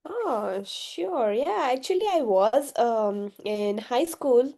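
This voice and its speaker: Slow, mellow young woman from Gujarat. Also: on the high side, lively intonation. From Gujarat, India. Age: young adult. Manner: hesitant, many fillers, soft-spoken. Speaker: interviewee, university student. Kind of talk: casual interview answers.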